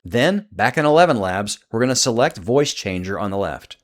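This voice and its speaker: A man narrating a scripted software tutorial as its host. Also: fairly low pitch, lively intonation, normal speed.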